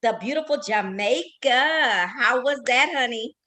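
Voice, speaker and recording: Host from Houston, woman, high and bright-toned, eager and chatty with her guest. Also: expressive, measured pace. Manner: friendly, enthusiastic reactions, laughing. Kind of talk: casual interview chat.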